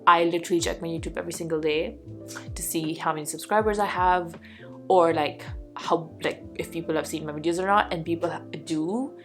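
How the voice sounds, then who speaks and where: Chatty young woman vlogger from Pakistan, lowish voice, casual and full of fillers, faint music underneath. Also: fairly even intonation, brisk, fairly bright tone. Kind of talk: casual chat to camera.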